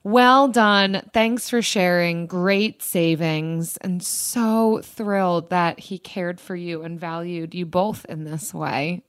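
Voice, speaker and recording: Slow-talking chatty woman host. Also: on the low side, steady tone, smooth, no echo, clean studio sound. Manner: laughing, chatty storyteller. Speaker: podcast co-host. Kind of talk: casual chat.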